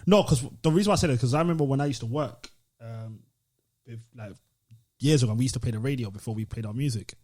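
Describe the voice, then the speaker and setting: Male podcast host, British, rough husky voice, questioning, informal delivery. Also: mid-range voice, fast, mellow. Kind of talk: casual discussion.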